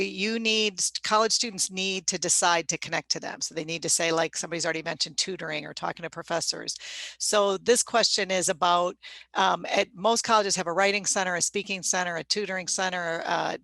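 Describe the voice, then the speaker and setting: A woman's voice: on the low side, gravelly, bright, forceful tone, no echo. A woman moderating a student panel, encouraging and often restarting her sentences as she introduces each question.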